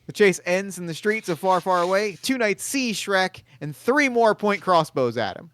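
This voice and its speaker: A man reading stage directions aloud at a table read, in a quiet, even voice. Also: high-pitched, moderate pace.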